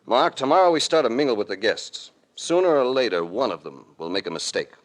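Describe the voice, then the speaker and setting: An actor playing a cool, commanding investigator in an old radio mystery, with terse and authoritative delivery. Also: male voice, mid-range voice, expressive, brisk, lightly acted. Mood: brisk, thoughtful. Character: cool, commanding male investigator, hard-boiled and shrewd. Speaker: lead actor and narrator. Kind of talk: 1940s-50s radio detective acting. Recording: vintage radio drama recording.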